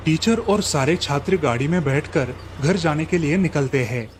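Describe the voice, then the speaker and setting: Higher-pitched male story narrator. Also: expressive, moderate pace, faint music behind. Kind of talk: scripted narration of a Hindi moral cartoon story.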